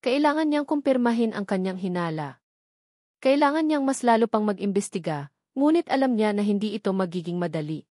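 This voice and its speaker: A woman's voice: medium pitch, animated, a little fast, mellow, no echo, studio quality. A woman narrating a scripted dramatic story.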